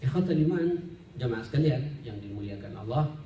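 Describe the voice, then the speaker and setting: A man's voice: medium pitch, lively intonation, fairly quick, dark tone. A man preaching as an Islamic teacher, conversational and didactic, speaking directly to his listeners. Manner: conversational and didactic, often addresses listeners directly, rhetorical questions. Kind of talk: sermon, study-circle lecture with Arabic recitation. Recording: roomy, distant mic, faint background noise.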